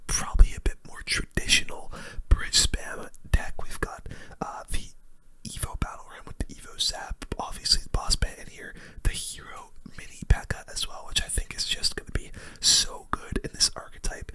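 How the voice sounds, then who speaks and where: A young man whispering relaxed, informal ASMR commentary over a game, close to the microphone. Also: normal speed. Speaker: gaming video creator.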